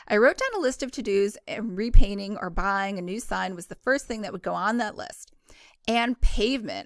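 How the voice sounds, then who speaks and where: A woman's voice: mid-range voice, vocal fry, fairly bright tone, no room sound, clean studio sound. A woman reading a first-person novel aloud in a casual, informal way, sometimes stumbling and correcting herself. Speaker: book club host reading a novel aloud.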